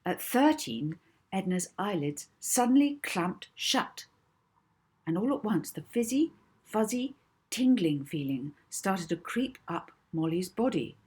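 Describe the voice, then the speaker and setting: Woman, expressive children's audiobook narrator, unhurried pace, slightly breathy voice. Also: mid-range voice. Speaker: author reading own book.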